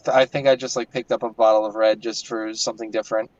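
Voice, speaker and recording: A man's voice: on the low side, little pitch movement, fast talker, darkish tone, no echo. A man chatting casually in a wry, playful way from inside a car. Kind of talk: casual chat. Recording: dull, dry call audio.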